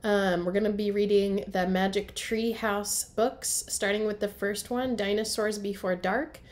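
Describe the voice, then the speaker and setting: A woman's voice: mid-range voice, steady tone, a little slow, a little mellow. A woman, a primary school teacher, reading a storybook aloud in simple phrasing for young children. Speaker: first grade teacher.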